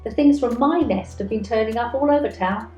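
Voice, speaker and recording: Woman acting storybook magpie, chatty reformed thief, nosy, expressive, soft-edged tone, echoey room. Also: fairly high pitch, fairly quick, lo-fi sound, soft background music, close to an everyday voice. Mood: contented.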